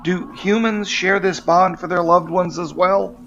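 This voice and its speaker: A man's voice: high voice, fairly even intonation, gravelly, a bit bright, faint music behind, heavily acted. A man playing a wary, formal alien magistrate in a sci-fi audio drama, on dull-sounding audio. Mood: softening. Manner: formal, measured wording. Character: wary male alien magistrate, wounded but softening.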